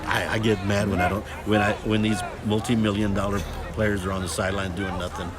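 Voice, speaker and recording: A middle-aged man hosting a casual livestream of a football scrimmage, loud, talkative and joking. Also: lowish voice, little pitch movement, a little fast. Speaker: livestream sports host. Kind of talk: casual sports commentary chat. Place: outdoors at a football field. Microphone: phone camera. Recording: crowd noise at outdoor game. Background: crowd at a game.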